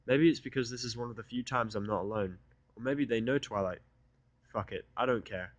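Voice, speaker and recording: A man's voice: mid-range voice, fairly quick, no room sound. A man narrating first-person fiction with a sardonic, irritable delivery. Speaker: audiobook narrator.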